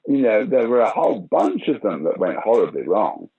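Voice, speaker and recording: A man's voice: fairly low pitch, huge pitch swings, moderate pace, gravelly, husky, dull audio. A man in his sixties being interviewed about his acting career, telling stories animatedly, often restarting and putting on the voices of people he quotes. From United Kingdom. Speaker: actor and director being interviewed. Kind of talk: casual interview chat.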